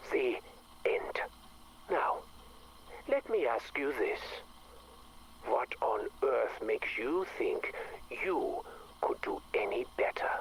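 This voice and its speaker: An actor playing a bitter, scornful scientist with a temper in a video game audio log, sardonic and deliberate. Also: male voice, medium pitch, sing-song, slight background noise, rough recording. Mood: cold, mocking.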